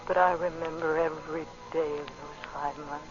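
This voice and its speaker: Intense grieving widow played by an actress, vintage radio. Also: female voice, low voice, fairly even intonation, close to an everyday voice. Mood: mournful. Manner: slow, deliberate, intense delivery. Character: grieving, vengeful young widow confronting her husband's betrayer. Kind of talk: 1940s radio drama acting. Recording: muffled old radio recording with music.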